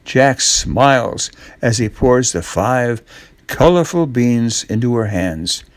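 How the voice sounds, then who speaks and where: An older man narrates a children's storybook. His delivery is slow and unhurried, at a middling male pitch, in a dry recording with very little room around it. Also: animated, no echo.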